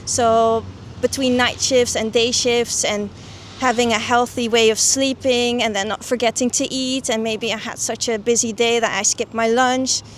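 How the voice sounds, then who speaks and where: Young lady, kinda high voice, thinking out loud in an interview, some noise behind. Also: steady tone, moderate pace, hardly any pauses. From the Netherlands. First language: Dutch. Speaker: guest, coaching client and doctor. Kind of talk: personal testimonial interview.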